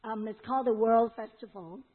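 A woman's voice: a little high, moderate pace. A woman with a Hong Kong accent interpreting a sermon, relaying each phrase with fillers and restarts. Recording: tinny phone-like sound.

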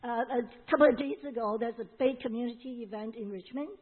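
A woman with a Hong Kong accent interprets a sermon, passing each phrase along with small fillers. Her voice is husky and somewhat high. The audio sounds thin, like a phone. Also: phone-quality sound. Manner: relays each phrase quickly, with fillers and restarts.

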